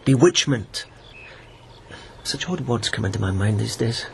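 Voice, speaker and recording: An actor playing a lovesick young lieutenant, earnest and tender with a self-mocking edge. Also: male voice, fairly low pitch, animated, unhurried, gravelly, a little hiss or hum. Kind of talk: radio drama acting.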